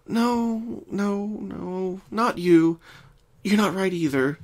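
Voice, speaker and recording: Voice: mid-range voice, slow pace, voice pushed up. A performer voicing a caring, bookish young female unicorn pony who speaks earnestly and precisely in a cartoon fan reading. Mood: searching. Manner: concerned, precise, articulate.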